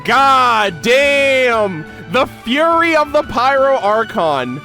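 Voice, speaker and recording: A man giving casual, slangy reaction commentary as an excitable gaming video host. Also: extremely high pitch, slow pace, soft background music.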